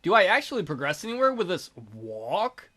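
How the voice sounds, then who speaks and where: A man's voice: high-pitched, sing-song, normal speed, sharp tone. A man hosting a let's play, reading a visual novel aloud theatrically with goofy, crude joking commentary.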